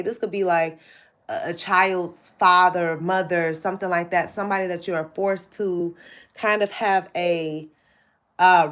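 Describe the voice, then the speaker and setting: A woman hosting a casual live tarot reading, chatting straight to her viewers, her voice stuffy and nasal from sinus trouble. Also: fairly low pitch. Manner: chatty, addresses viewers directly. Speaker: tarot reader hosting a livestream.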